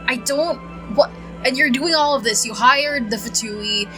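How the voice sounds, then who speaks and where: A woman streamer giving casual, reactive game commentary, halting and repetitive, exasperated and prone to swearing. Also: high-pitched, bright, forceful tone, faint music behind. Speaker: gaming streamer.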